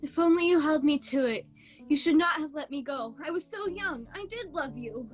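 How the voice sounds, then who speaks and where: A woman playing a proud, defensive female miser who softens into regret, in a theatrical radio play recorded over a video call. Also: high-pitched, normal speed, faint music behind, close to an everyday voice. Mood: regretful.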